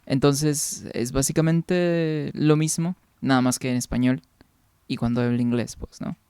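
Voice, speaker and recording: Young guy from peru rambling to his stream chat, smooth clean voice, dry close sound. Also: medium pitch, steady tone, measured pace, mellow, no room sound. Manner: rambling, reads viewer comments aloud and answers them. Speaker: game streamer. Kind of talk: casual chat with viewers during a game stream.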